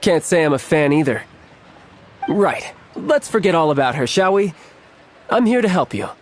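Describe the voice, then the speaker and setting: An actor playing a suave, playful young envoy in a game cutscene, smooth, teasing and glib. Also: male voice, fairly high pitch, big pitch swings, moderate pace, soft background music. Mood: playful, reassuring.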